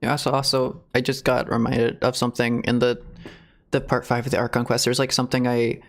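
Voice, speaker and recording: A man's voice: mid-range voice, flat delivery, a little fast. A man giving casual live reactions as a game streamer, rambling and searching for words.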